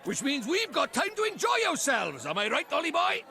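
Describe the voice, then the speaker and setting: An actor with a Welsh accent voicing a cheeky, excitable little male fairy sidekick in an animated fantasy game. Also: very high voice, a little fast, gravelly, exaggerated voice. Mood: jolly, cheeky.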